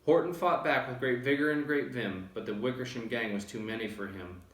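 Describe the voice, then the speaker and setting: A man with a medium-pitched voice reads a rhyming storybook aloud. His narration is expressive, and the room adds a noticeable echo. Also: brisk. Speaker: storybook reader.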